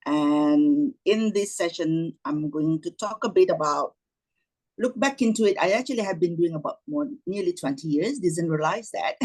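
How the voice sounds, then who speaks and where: Husky middle-aged Malaysian woman. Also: low voice, darkish tone, rough recording. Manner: conversational, circling back and rephrasing often. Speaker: doctor and lecturer giving a talk. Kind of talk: informal online presentation, reminiscing. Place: online video meeting with screen share.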